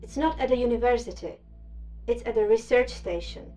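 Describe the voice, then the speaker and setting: A woman's voice: a little high, brisk, mellow, close to an everyday voice. A woman playing a guarded, businesslike recruiter in an audio drama, formal and clipped. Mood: guarded, persuasive. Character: guarded, businesslike female recruiter for secret facility. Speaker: voice actor in a fiction podcast.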